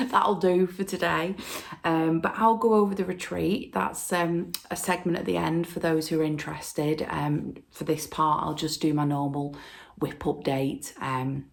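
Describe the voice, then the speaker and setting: A low-pitched woman with a gravelly, hoarse voice from an oncoming cold. She chats casually to camera from home, rambling along with plenty of ums. Her voice sits deep for a woman, with a rough, creaky edge. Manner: chatty and rambling, lots of ums. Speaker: flosstube host.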